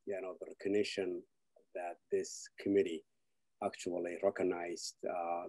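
A man, giving an earnest keynote speech over a video call, halting with frequent fillers. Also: deep voice, a little mellow, slightly rough, rough recording. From Somalia. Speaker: keynote speaker. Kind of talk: personal speech.

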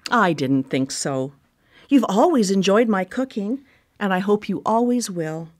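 An actress in a radio play playing a controlling, composed cannibal cook who dotes menacingly on family. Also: female voice, mid-range voice, lively intonation, measured pace, darkish tone, natural voice. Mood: cool, possessive. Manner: composed, coy, domineering. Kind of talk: live radio play acting.

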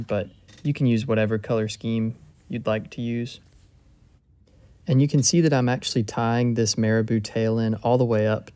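A man narrates a hands-on craft tutorial in a calm, methodical way. His voice is mellow and dark, with very little rise and fall. He sounds relaxed and plainspoken as he explains each step. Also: mid-range voice. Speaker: fly-tying instructor. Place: home fly-tying bench.

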